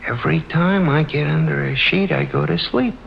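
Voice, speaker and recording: An actor playing a nervous, simple-minded, comic male sidekick in plaintive, excitable 1950s radio drama style. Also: fairly high pitch, normal speed, vocal fry, heavily acted. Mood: sheepish, drowsy. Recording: dull, noisy old radio recording.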